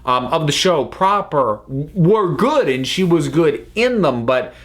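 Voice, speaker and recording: A man's voice: fairly high pitch, big pitch swings, measured pace, a bit bright. A man giving an unscripted review as a commentator, talking analytically and often stopping to rephrase himself. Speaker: reviewer, channel host.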